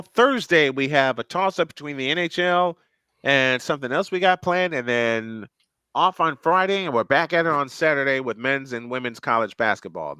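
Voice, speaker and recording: A man calling football play by play, his voice bright and a little high. He sounds dry and close to the mic and swings up into excited calls on big plays. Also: smooth, dry recording. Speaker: play-by-play commentator. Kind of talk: live game commentary on a fan stream.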